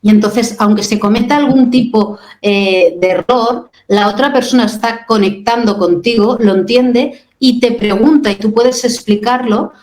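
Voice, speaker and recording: A woman in her fifties hosting a radio interview, asking questions and reflecting in a steady way. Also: fairly high pitch, normal speed, hardly any pauses, reverberant, low-quality recording. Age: 50s. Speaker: radio host.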